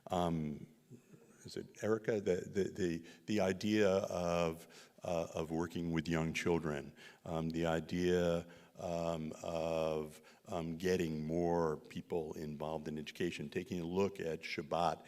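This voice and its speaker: Low-voiced man with halting speech in a reverberant hall. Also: level tone, darkish tone. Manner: halting, many fillers and restarts. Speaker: visiting researcher presenting a community study. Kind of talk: Q&A answers after a talk. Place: synagogue hall. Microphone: handheld microphone.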